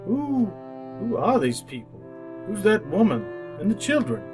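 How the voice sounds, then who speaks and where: An actor playing an anxious, humbled old miser in a radio drama, halting and earnest. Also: male voice, sits high, wildly swooping pitch, a little mellow, faint music behind, full character voice. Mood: awed, uneasy. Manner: halting, repeating words, earnest.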